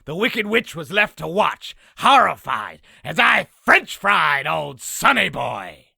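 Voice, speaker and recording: A man voicing a boastful raspy demon, telling an exaggerated battle story theatrically for a comic dub. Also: on the high side, very animated, slow, pushed and bright, no echo, clean studio sound, heavily acted. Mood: gloating. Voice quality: raspy, gravelly. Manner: theatrical, self-aggrandizing. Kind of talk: comic dub character narration.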